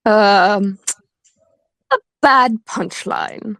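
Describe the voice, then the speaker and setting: An actress playing a quick-witted lawyer friend in an audio play, teasing and expressive. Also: female voice, mid-range voice, dry recording, rough recording.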